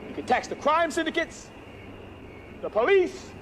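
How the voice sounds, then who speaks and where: An actor playing a fiery male gang leader in a loud, declamatory film speech. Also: very high voice, big pitch swings, normal speed, a little hiss or hum, heavily acted. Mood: rousing.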